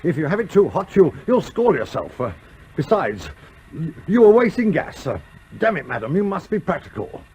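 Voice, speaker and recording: An actor in a radio play voicing the blustering, bossy ghost of a sea captain, with old-fashioned oaths and an exasperated, commanding manner. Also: male voice, a little high, very animated, normal speed, darkish tone, a little hiss or hum.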